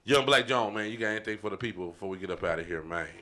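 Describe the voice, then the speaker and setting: A man's voice: on the low side, racing, bright, forceful tone. A man hosting a podcast in casual, slangy banter, repeating catchphrases like a hype man. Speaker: podcast host.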